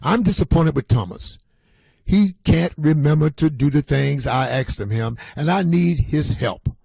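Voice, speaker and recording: A man's voice: fairly high pitch, expressive, unhurried, creaky, a little mellow, breathy edge, dry recording, exaggerated voice. A man voicing a busy, disappointed storybook mother, firm as she explains herself. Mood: frustrated.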